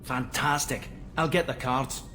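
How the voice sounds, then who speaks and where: A cheeky engineer lad, mid pitched voice, jokey and keen, engine noise behind. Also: male voice, steady tone, a little slow, bright, forceful tone. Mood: eager. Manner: jokey, eager. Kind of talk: video game dialogue acting.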